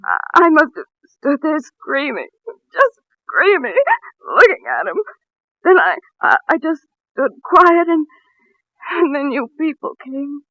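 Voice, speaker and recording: An actress playing a distraught, devoted wife in a 1940s radio mystery, stammering and overwrought. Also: female voice, sits high, sing-song, unhurried, pushed and bright, no echo, dull audio, heavily acted. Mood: shaken. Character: distraught, devoted small-town wife under terrible strain. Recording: vintage radio drama recording.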